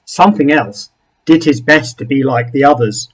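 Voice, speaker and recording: A man, a rabbi, reading a picture book aloud to young children expressively, with dramatic pauses and small asides. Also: mid-range voice, slightly rough, poor audio.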